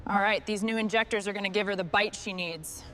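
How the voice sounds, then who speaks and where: A woman's voice: mid-range voice, steady tone, a little fast, very noisy. A woman giving short scripted instructions and remarks as a mechanic on a restoration job.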